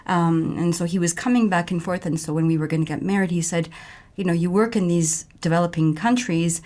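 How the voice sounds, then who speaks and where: A woman guest on a podcast, telling her story in an animated way and repeating phrases for emphasis. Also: lowish voice, a little fast. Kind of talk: podcast interview.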